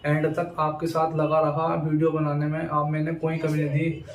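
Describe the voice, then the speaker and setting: A man's voice: on the high side, completely flat delivery, normal speed. A young man talking informally to students as a YouTuber, insistent and repeating himself with frequent verbal tags. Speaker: education YouTuber. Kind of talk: informal talk to camera for students. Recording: roomy echo, distant mic, faint noise.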